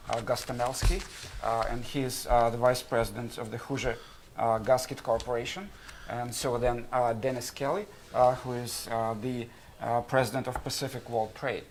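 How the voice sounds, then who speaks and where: Halting man from Kazakhstan, moderating. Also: medium pitch, slight background noise. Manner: halting, many uh fillers and restarts. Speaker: panel moderator. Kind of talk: conference panel moderation. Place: conference room.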